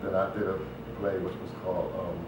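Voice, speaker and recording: A man's voice: low voice, level tone, fairly quick. A man giving a casual interview as a guest, rambling along in a conversational way and often saying "you know.". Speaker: interview guest, stage play writer and producer. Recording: very echoey, distant, dull, noisy room.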